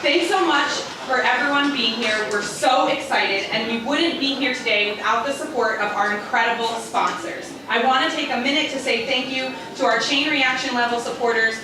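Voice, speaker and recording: A woman's voice: fairly high pitch, moderate pace, few pauses. A woman hosting a live museum event, rallying the crowd with upbeat announcements. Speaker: event host. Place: large echoey museum hall. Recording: echoey hall, distant mic, noisy crowd.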